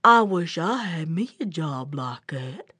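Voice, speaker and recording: Lady doing a big dopey friendly boy bear, low n breathy, slow drawl, storybook style. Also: lively intonation, bright, forceful tone, dry recording, exaggerated voice. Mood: impressed, wistful. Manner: slow, simple, rustic folk speech. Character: slow, gullible, good-natured male bear. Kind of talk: animated folk-tale storytelling.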